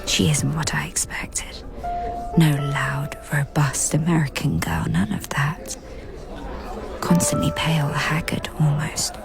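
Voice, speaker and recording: Over prominent music, a young society woman whispers her inner monologue in a radio drama. She is watchful and appraising, breathy and intimate, and very unhurried. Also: low-pitched, a crowd in the background, exaggerated voice. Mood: hushed, appraising. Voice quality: breathy, hushed. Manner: slow, deliberate, intimate murmur in fragments. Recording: music under the voice.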